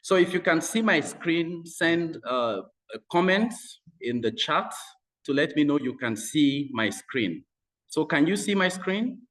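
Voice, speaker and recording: Unhurried Nigerian man opening a webinar talk. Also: fairly high pitch, animated, a bit bright. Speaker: webinar speaker, editor and poet. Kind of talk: informal opening of an online presentation. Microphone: video call.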